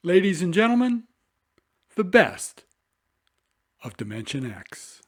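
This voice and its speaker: A man's voice: high voice, big pitch swings, slow pace. A man announcing in a scripted narration style, introducing a program.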